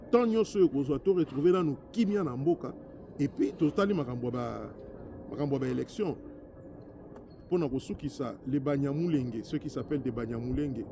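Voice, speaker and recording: A man's voice: a little high, noisy background. A man from DR Congo with a Congolese accent giving an impassioned political address as a politician, emphatic and repetitive in his delivery. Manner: emphatic, repetitive, switching between French and Lingala. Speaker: politician, former member of parliament.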